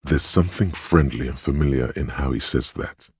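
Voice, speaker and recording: An actor playing a grave, compassionate inner voice of empathy in a game, narrating in a measured, solemn and tender way. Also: male voice, a bass voice, husky, dry recording, clean studio sound, heavily acted. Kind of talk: dramatic game narration, second person.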